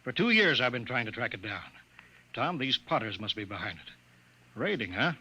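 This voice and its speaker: An actor playing a brusque, bossy colonial bureau chief who blusters and gives orders, in 1950s radio drama style. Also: male voice, medium pitch, big pitch swings, moderate pace, sharp tone, husky, full character voice. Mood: gruff, determined. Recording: noisy vintage radio recording.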